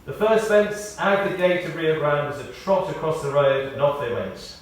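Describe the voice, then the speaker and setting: Male eulogist, measured and drily humorous storyteller, reading a tribute in a very reverberant church, off-mic with faint noise. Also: a little high, animated, far from the mic. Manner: measured storytelling with dry humour. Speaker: eulogist at a memorial service. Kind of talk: prepared spoken tribute, read aloud. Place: speaking in a large church.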